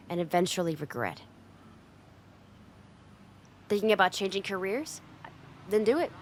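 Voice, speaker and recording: A brash young delinquent woman, acted by a female performer talks straight at the listener in an ASMR roleplay. Her voice sits at an average female pitch, and her delivery is blunt and informal, with hesitant asides that show a caring side under the gruffness. Background noise of a street runs behind her. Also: close to an everyday voice. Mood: urging. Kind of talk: ASMR roleplay acting, speaking directly to the listener. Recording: clear background noise, outdoor street ambience.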